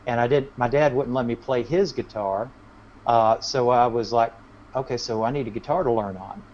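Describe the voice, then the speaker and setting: A man's voice: medium pitch, moderate pace, a little mellow. A man chatting casually as a musician guest in an interview, telling anecdotes in a rambling way. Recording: dull, lo-fi audio.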